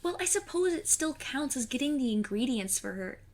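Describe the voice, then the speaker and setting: A woman's voice: high voice, big pitch swings, normal speed, exaggerated voice. A woman playing an earnest, plucky fifteen-year-old girl in an audio drama, with a literal, matter-of-fact manner.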